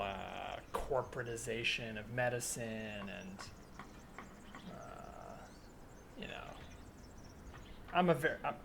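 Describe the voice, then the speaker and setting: A man's voice: medium pitch, vocal fry. A man playing a cynical, opinionated amateur historian out jogging in an improvised comedy scene, talking casually with hesitant, rambling, questioning phrasing. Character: cynical, self-styled militia historian jogger, apologetic yet opinionated. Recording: background noise of outdoor soundscape. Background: added outdoor ambience soundscape.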